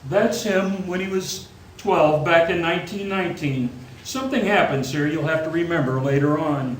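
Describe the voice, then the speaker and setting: A man in a radio play acting a calm, authoritative senior angel who tells the story in measured tones. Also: on the high side, a little hiss or hum, natural voice. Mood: calm, instructive. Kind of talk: stage radio play narration. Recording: echoey theatre, faint music behind.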